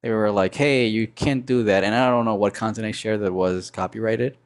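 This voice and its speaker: A man with a low baritone voice and a sore throat, chatting casually and humming to himself while he animates on a live stream. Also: fairly even intonation, moderate pace. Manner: rambling, thinks aloud, hums and mutters sound effects.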